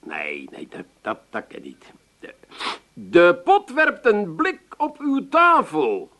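Pompous amateur jingle writer, swooping delivery. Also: male voice, high-pitched, wildly swooping pitch, slow pace, pushed and bright, exaggerated voice. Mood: muttering, then pompous, composing. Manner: theatrical declaiming broken by muttered self-corrections. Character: busy amateur jingle writer, grandly declaiming slogans, brusque. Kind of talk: 1980s comic radio drama acting.

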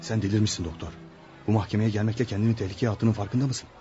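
A man's voice: on the low side, fairly even intonation, fast talker, highs cut off, over music, natural voice. An actor playing a brusque, cynical male lawyer in a radio play, scolding and insistent with his friend. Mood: exasperated. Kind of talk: radio theatre acting.

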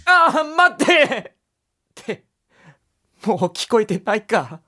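A woman's voice: on the high side, sing-song, takes it slowly, loud music behind the voice. A woman playing an easygoing, awkward young man in an anime-style audio drama, talking in a casual, hesitant and apologetic way. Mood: awkward, flustered. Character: easygoing, awkward young male master of the mansion.

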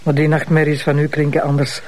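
A woman's voice: sits low, completely flat delivery, fast, darkish tone, a little hiss or hum, close to an everyday voice. A woman with a Flemish accent playing a concerned, nagging wife in a naturalistic TV drama, colloquial and chiding.

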